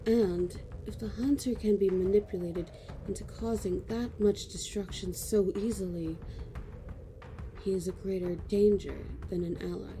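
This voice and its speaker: A woman's voice: mid-range voice, fairly even intonation, unhurried, background noise, lightly acted. A woman playing a cold, stern judge who looks down on mortals in a fantasy audio drama.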